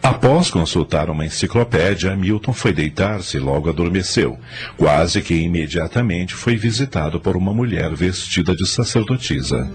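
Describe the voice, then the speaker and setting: Deep-voiced dramatic male radio narrator. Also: lively intonation, moderate pace. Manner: dramatic storytelling. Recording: faint music under the voice.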